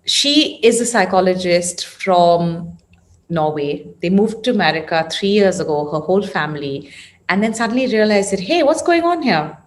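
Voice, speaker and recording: A woman's voice: lowish voice, normal speed. A woman hosting an interview, speaking in a conversational, welcoming way as she introduces things. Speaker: interview host, founder of a parenting series.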